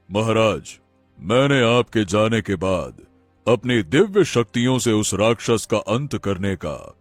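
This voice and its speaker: A man playing a solemn wandering holy sage in an animated moral story, speaking in measured, weighty pronouncements. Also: lowish voice, no echo, full character voice.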